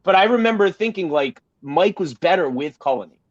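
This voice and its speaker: Higher-voiced man podcasting in a roomy space. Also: lively intonation, a little fast. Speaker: podcast co-host. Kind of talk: casual podcast chat. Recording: roomy, slightly dull sound.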